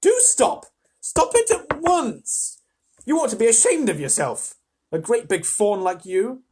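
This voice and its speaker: A storyteller voicing a kind, earnest young girl who talks in a high, urgent, pleading way. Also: animated, brisk, mellow, slightly rough. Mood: scolding.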